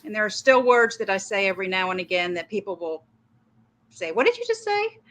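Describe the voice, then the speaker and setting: A woman's voice: mid-range voice, brisk. A middle-aged woman with a Southern American accent, co-hosting a casual livestream chat and telling chatty anecdotes over a video call. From northern United States. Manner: chatty storyteller, animated anecdotes. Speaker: livestream co-host.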